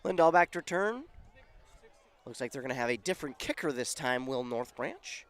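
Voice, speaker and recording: A man calling a football game on local radio, his voice going scratchy and hoarse, rising with excitement on big plays. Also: medium pitch, moderate pace. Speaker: play-by-play announcer.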